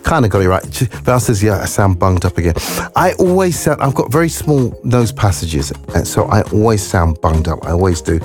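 A man hosting a gospel radio show, his low voice swinging widely up and down. He chats upbeat to listeners and slips into prayer, speaking into a studio mic with soft music under him. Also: big pitch swings, moderate pace. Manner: chatty, upbeat, leads prayer. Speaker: radio presenter. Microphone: studio microphone.

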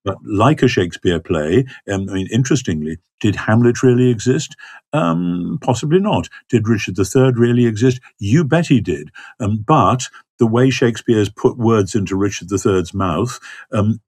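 An older man answers questions as a biblical scholar, thoughtful and halting, with many ums and restarts. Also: on the low side, lively intonation, darkish tone, husky, no room sound, studio quality. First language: not Hebrew. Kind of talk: thoughtful conversational explanation.

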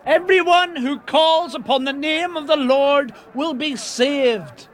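Peter the apostle, as in a radio play, is earnest and plain-spoken. His voice sits unusually high and moves at an unhurried pace. He sounds sure of himself, urging and steady. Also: full character voice. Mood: earnest preaching. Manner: earnest, plain-spoken, urgent when preaching. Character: Peter, bold fisherman apostle, middle-aged man. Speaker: preacher to a crowd. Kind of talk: radio drama acting.

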